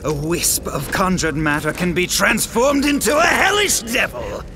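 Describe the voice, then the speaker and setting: A man's voice: high voice, sing-song, measured pace, bright, forceful tone, slight background noise, full character voice. An actor playing a sadistic, gloating male villain in a fantasy game, mocking and theatrical, drawing out his words. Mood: sinister, boastful. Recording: music behind the voice.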